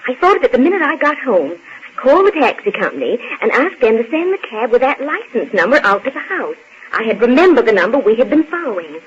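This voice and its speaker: An actress playing an anxious, determined young wife in an old-time radio serial, earnest and pressing. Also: female voice, on the high side, big pitch swings, fast talker. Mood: urgent, worried. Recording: muffled, faintly noisy old radio recording.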